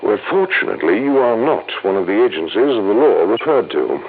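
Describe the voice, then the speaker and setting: Anxious American company official, formal and hesitant, lively swooping delivery. Thin, hissy vintage radio sound. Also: male voice, on the low side, fairly quick, narrow, tinny audio. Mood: flustered. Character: fussy, anxious male insurance company official. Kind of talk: 1950s American radio drama acting.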